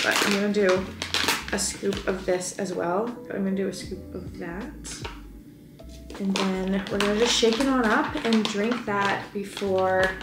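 A woman's voice: mid-range voice, heavy background noise. Young female fitness vlogger, chatty and upbeat, filmed from a few feet off in a roomy apartment. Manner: chatty, upbeat, lots of "like" fillers. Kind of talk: casual vlog chat to camera. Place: home kitchen and living room. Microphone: camera on counter, speaking from a few feet away. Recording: roomy apartment sound with music underneath.

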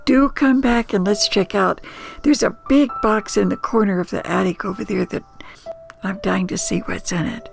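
An older woman with an American accent hosts nostalgic storytelling. Her voice is heavily creaky and her delivery slow and folksy, with emphatic pauses. Soft music plays behind her. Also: medium pitch. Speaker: storyteller and host.

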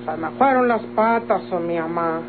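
A woman's voice: on the low side, moderate pace, lightly acted. Radionovela role, female actor: simple Mexican country girl, folksy, rueful, resigned, vintage tinny sound with hum. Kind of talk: golden-age Mexican radionovela acting. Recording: tinny old radio recording, humming noise.

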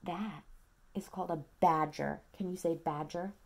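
A woman in her thirties reading a picture book aloud to a toddler at home, dramatically, pausing to ask simple questions. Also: lowish voice, steady tone, gravelly, a little mellow. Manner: dramatic, childlike questioning and prompting. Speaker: parent reading a bedtime story to a toddler. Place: small quiet room at home.

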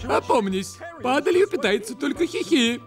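Squeaky excitable sidekick. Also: male voice, normal speed, exaggerated voice. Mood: urgent. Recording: music bed.

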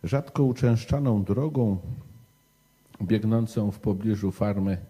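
A man preaches and tells stories to a young gathering in a very dark, mellow voice. He takes his time, speaking slowly and deliberately. The sound is husky rather than clean. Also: on the low side. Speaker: preacher telling a bedtime parable to young people. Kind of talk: storytelling and short homily to a youth gathering.

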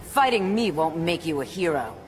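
An actress playing a proud, stern warrior demigoddess in a video game, speaking with haughty, solemn command. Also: female voice, on the low side, lively intonation, moderate pace, a little hiss or hum, close to an everyday voice. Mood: stern disdain. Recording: loud music behind.

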